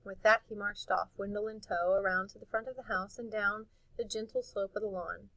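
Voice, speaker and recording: A woman reading a storybook aloud in an expressive narrating style. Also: mid-range voice, a little fast, no room sound, rough recording. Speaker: audiobook reader.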